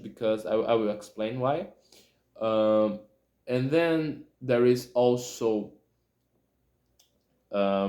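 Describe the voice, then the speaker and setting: A Brazilian man with a Portuguese-speaker's accent talks casually in an echoey room. His pace is slow and his pitch sits in the middle of the male range. He halts, hunts for words and corrects himself as he goes. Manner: halting, self-correcting, searching for words. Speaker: video creator. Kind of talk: casual talk to camera about language learning.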